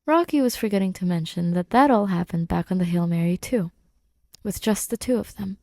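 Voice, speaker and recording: A woman's voice: mid-range voice, a little fast, warm tone, dry recording. A woman reading scripted fiction expressively as an audiobook narrator, close to the microphone. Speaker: podfic narrator.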